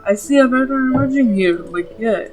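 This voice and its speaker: A woman's voice: a little high, animated, normal speed, a little mellow, faint music behind, low-quality recording. A woman giving offhand, casual gameplay commentary, leaning heavily on filler words. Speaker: game streamer.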